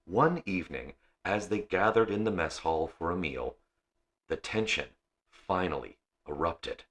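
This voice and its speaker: A man reads a science fiction story in a very low register. His delivery is slow and measured, and the tone is bright and forceful. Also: animated. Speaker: audiobook reader.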